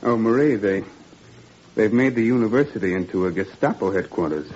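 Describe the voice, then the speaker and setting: A man's voice: on the low side, lively intonation, fairly quick, a little mellow, natural voice. An actor playing a weary, affectionate father, a wistful former professor, in 1940s radio drama style. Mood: fond, wistful. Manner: wistful, fatherly, ends remarks with 'huh?'. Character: weary, affectionate father, dismissed professor turned factory worker. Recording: muffled, noisy 1940s radio recording.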